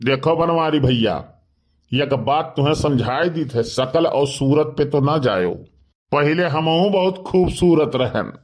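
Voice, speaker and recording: A man's voice: on the high side, lively intonation, a little slow, a little mellow. A man voicing a boastful, argumentative village neighbour in a cartoon comedy, protesting in an Awadhi accent. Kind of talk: animated comedy dialogue.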